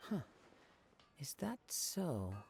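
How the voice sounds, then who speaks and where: An actor playing a calm, modest young wandering samurai in a video game, with formal, gentle politeness. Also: male voice, on the high side, extremely slow, gravelly, a little hiss or hum, heavily acted. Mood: calm, serious.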